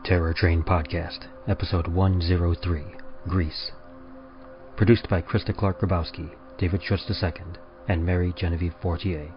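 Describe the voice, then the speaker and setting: A man reading scripted podcast credits as an announcer, in a measured, formal way. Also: deep voice, little pitch movement. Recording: muffled sound under music. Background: music.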